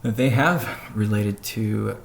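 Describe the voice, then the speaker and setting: A man giving a measured, businesslike update on a conference call, pausing between phrases as he reads out points and answers questions. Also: lowish voice, creaky. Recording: noisy conference call audio.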